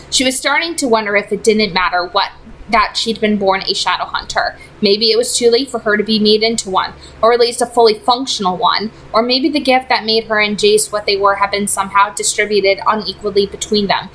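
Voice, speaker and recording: A woman's voice: mid-range voice, a bit bright, slight background noise, low-quality recording. A woman reading fiction aloud as a storyteller, in scripted narration. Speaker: audiobook-style reader.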